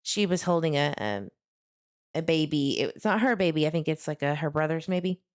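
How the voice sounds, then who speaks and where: Casting: female livestream host, low register, casual earnest commentary on true crime. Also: expressive, normal speed, darkish tone. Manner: conversational, earnest, addresses viewers directly.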